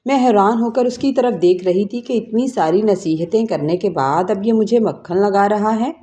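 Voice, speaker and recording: Mellow-voiced woman narrating a story. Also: mid-range voice. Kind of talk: scripted first-person story narration.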